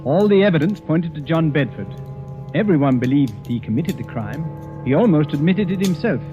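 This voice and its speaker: An actor playing a self-satisfied, theatrical master detective, pompous and deliberate, in old-time radio horror style. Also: male voice, fairly high pitch, animated, natural voice. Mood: brisk, probing. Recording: muffled vintage radio recording, faint music.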